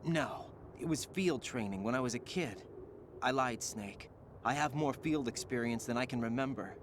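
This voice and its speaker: A man's voice: medium pitch, moderate pace, natural voice. An actor playing a troubled young male soldier in a game cutscene, earnest and searching in his questions. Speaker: game character. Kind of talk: video game cutscene voice acting. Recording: game audio with ambient noise.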